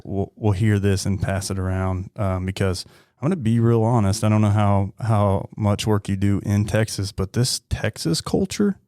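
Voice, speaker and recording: A man hosting a podcast in a casual, halting way with frequent pauses. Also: low voice, darkish tone, dry recording, clean studio sound. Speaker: podcast host.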